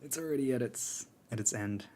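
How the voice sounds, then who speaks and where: A man on a casual live stream answering chat, his voice strained, laid-back with frequent fillers and soft laughs. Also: medium pitch, brisk. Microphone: earbuds.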